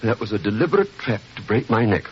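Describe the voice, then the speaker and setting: Frightened butler, muffled old radio. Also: male voice, lowish voice, sing-song, fast, a little hiss or hum. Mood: terrified. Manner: pleading, stammering, servile. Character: frightened, deferential male butler in terror of his life. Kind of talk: old radio mystery drama acting.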